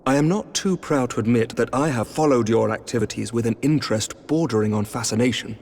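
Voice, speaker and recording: An actor playing a dignified, courteous knight commander in a video game, speaking formally and resolutely. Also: male voice, medium pitch, fairly quick, a little mellow, slight background noise, close to an everyday voice. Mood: courteous, composed. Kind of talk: scripted video game cutscene dialogue. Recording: orchestral game music behind the voice.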